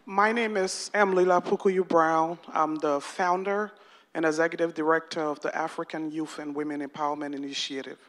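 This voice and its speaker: A woman's voice: low-pitched, fairly even intonation, a little fast. A woman from Liberia earnestly asking a formal question as an audience member at a public forum.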